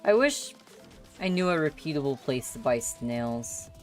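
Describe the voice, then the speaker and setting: A woman's voice: deep voice, animated, measured pace, darkish tone, soft background music. A young adult woman hosting a livestream and chatting casually. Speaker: livestream host.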